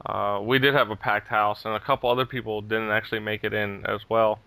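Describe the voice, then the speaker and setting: Bright, crisp-voiced male podcast host. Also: lowish voice, flat delivery, a little fast, dry recording. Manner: chatty, chuckles between remarks. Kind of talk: casual gaming podcast chat.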